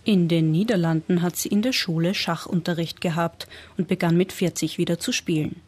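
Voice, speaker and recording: Woman narrator for a radio feature, husky and a touch low, measured reads. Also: fairly even intonation, a little mellow. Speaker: radio reporter. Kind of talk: scripted narration.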